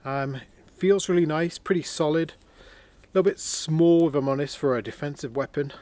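A man's voice: a little high, moderate pace, no echo. A man vlogging from inside a heated canvas tent on a winter camping trip, casually showing off new gear and thinking aloud as he goes. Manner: relaxed, chatty, thinks aloud with fillers. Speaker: outdoor vlogger.

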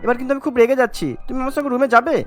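A man's voice: very high-pitched, fairly even intonation, rapid-fire, a bit bright, soft background music. A man voicing an exasperated young husband who nags his wife in a cartoon. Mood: annoyed. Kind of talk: Bengali cartoon voice acting.

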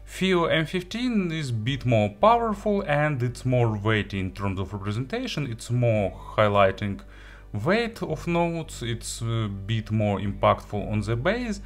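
A man who is a non-native English speaker, giving an unscripted product review in a halting, hesitant way with frequent pauses. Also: mid-range voice, big pitch swings, soft background music. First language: not English. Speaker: audio gear reviewer.

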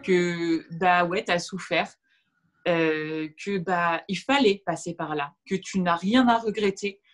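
A woman's voice: on the low side, slow pace. A woman in her thirties whose first language is Arabic, a guest on a video-call interview, speaking reflectively with many fillers and restarts. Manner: gestures while talking. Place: room at home with shelves. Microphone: video call webcam.

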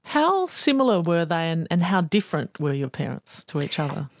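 A woman hosting a radio interview, clear and composed. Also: fairly low pitch, sing-song, normal speed, dry recording, studio quality. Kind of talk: broadcast interview. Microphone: studio mic.

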